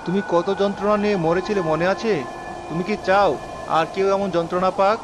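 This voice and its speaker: A man playing a brave, compassionate young village doctor in an animated story, earnest and reasoning, with music behind him. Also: high-pitched, brisk, noisy background, natural voice.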